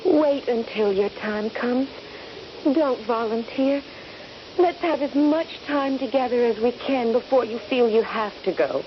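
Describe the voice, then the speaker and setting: An actress playing a soldier's loving, anxious young wife in a vintage radio drama. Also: female voice, on the high side, unhurried, close to an everyday voice. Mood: pleading. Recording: dull, noisy vintage radio recording.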